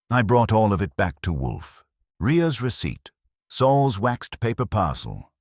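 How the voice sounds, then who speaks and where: A man's voice: rumbling low, dry recording, clean studio sound. A man narrating a first-person detective story in a wry, hard-boiled way. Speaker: audiobook narrator.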